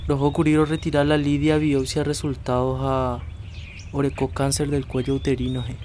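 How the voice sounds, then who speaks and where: An actor playing a reassuring rural husband in a radio drama. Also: male voice, medium pitch, monotone, moderate pace, a little mellow, a little hiss or hum, natural voice. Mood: calm. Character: caring husband Rafa, adult man.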